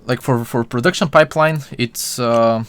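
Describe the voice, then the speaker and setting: A man's voice: medium pitch, moderate pace, smooth, dry recording. A young man from Bulgaria chatting with his live-stream viewers while he models, full of fillers and restarts.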